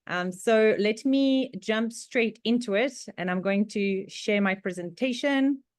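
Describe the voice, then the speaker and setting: Czech woman presenting over a video call, conversational and full of fillers, mid pitch, dry. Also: a little slow, no echo. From Czech Republic. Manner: chatty, laughs often, many fillers and restarts. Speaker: guest presenter. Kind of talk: online club presentation.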